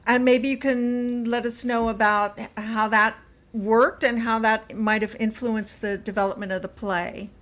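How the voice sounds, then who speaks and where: A woman's voice: on the high side, steady tone, measured pace. A woman interviewing on a podcast in a conversational, supportive and appreciative way.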